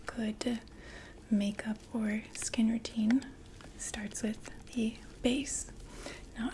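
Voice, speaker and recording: Woman acting as a polite vampire makeup seller, ASMR whisper, unhurried, even-toned, gently explanatory. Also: a little high, slight background noise, lightly acted. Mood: polite, reassuring. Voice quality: soft breathy whisper. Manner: gentle, attentive, explanatory sales patter with hesitant pauses. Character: polite female vampire door-to-door makeup saleswoman. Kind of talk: ASMR roleplay.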